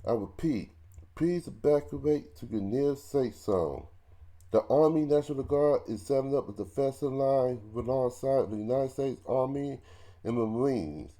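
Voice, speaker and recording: A man giving an ASMR roleplay emergency broadcast announcement in a halting, repetitive way with stumbles. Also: mid-range voice, warm tone.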